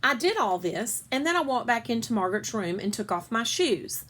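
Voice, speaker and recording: A woman's voice: fairly high pitch, sing-song, moderate pace, fairly bright tone, husky. A woman reading a children's story aloud expressively, stretching key words as a storyteller does.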